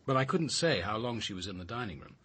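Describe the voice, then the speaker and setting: An actor playing a reserved, analytical senior police officer in a radio drama, thoughtful and articulate. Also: male voice, fairly low pitch, animated, fast, bright, forceful tone, husky, heavily acted. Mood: analytical, reflective. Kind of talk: naturalistic radio drama acting.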